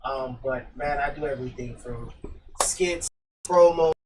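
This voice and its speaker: A man's voice: mid-range voice, unhurried. A man in his twenties chatting casually and telling stories in slang as a podcast guest on a bus. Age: 20s. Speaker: podcast guest, content creator. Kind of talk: casual banter. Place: inside a bus. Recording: distant mics, loud bus noise.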